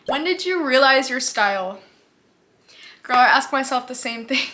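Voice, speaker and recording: Young woman, twenties, from the US, casual vlog Q&A, bright voice, slightly high, chatty, laughs easily, talking to camera. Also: expressive, normal speed. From United States. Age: 20s. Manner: chatty and upbeat, laughs easily, says "like" often. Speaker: vlogger. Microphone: camera mic, talking to camera.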